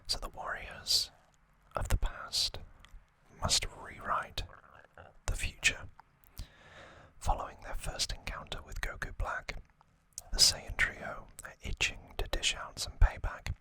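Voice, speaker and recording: ASMR host, fully whispered and breathy, slow delivery in short hushed phrases, crisp and very bright. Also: rough recording. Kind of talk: ASMR whispered anime recap and trivia.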